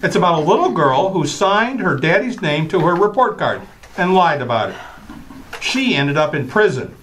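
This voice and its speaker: A woman's voice: on the low side, big pitch swings, normal speed, slight background noise, rough recording. A woman acting as an exasperated, scolding father, stern and flustered, in an old-time radio comedy. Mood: lecturing. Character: Daddy, exasperated scolding father of a little girl.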